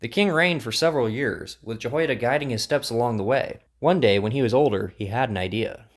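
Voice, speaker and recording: A man's voice: mid-range voice, fairly quick. A man giving scripted narration as the storyteller of an audio drama. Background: music, guitar.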